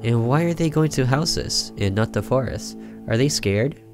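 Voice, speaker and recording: A man's voice: mid-range voice, lively intonation, moderate pace, over music, voice pushed up, lightly acted. A man voicing a curious, stubborn little sister in a horror visual novel, asking with childlike insistence.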